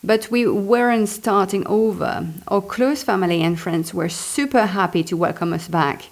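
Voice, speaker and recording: A woman's voice: mid-range voice, moderate pace. A woman reads her own memoir aloud, expressively, adding chatty, self-deprecating asides. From Belgium. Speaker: author reading and commenting on own memoir.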